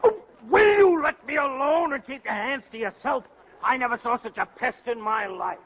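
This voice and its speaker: A lo-fi vintage radio recording of a grouchy household father. His high voice leaps about in pitch as he blusters and complains irritably. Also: lively intonation, normal speed, a bit bright, full character voice. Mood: exasperated. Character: grumpy, exasperated middle-aged father of the household. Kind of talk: 1930s radio comedy acting. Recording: dull, lo-fi 1930s radio recording.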